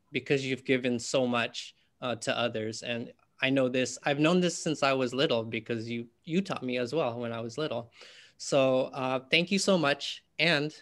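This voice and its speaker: Young male host, casual and warm-hearted, filler-heavy, on a video call. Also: medium pitch. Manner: friendly, chatty, with fillers and laughs. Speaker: event host.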